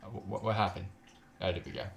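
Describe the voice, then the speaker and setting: A man's voice: on the low side, fairly even intonation, fast talker, gravelly, background noise. A man live-streaming a game, chatting casually in a joking, sweary, slangy way and reading text aloud with stumbles.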